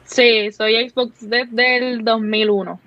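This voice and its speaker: A woman's voice: fairly high pitch, little pitch movement, slow, a crowd in the background. Young Puerto Rican female guest, easygoing, on a muffled lo-fi line. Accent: Puerto Rican Spanish. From Puerto Rico. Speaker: streamer guest. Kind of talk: casual chat. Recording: dull lo-fi audio.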